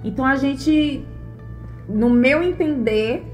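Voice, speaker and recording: A woman's voice: sits high, slow pace, soft background music. A woman, a mother answering interview questions in an earnest, thoughtful way with frequent fillers. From Bahia, Brazil. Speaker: interview guest, mother and former gymnast. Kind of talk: relaxed interview conversation.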